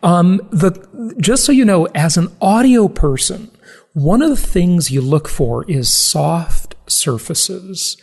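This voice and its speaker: An American man hosts a casual podcast in a fairly high voice with a noticeable creak. He talks in a chatty, informal way, dropping in asides as he addresses his listeners. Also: expressive, slow pace, darkish tone. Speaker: podcast host. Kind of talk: casual solo podcast talk.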